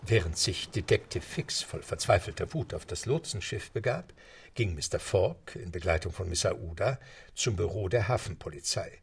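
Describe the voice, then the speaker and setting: A man narrating a radio play in a steady, measured storytelling manner. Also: on the low side, husky.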